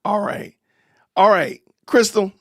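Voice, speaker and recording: Guy hosting a live stream podcast, kinda high voice, gets worked up and preachy, dry close mic. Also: slow pace, dry recording. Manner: animated, preachy rants with rising emphasis. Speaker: podcast host. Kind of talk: casual live-stream chat.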